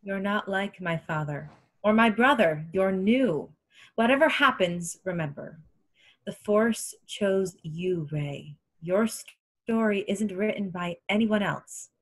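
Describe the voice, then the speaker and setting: A woman's voice: medium pitch, animated, slow pace, rough recording. A woman reading the part of a wise, motherly older resistance general in a table read over a video call. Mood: tender, earnest. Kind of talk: table read of a film script.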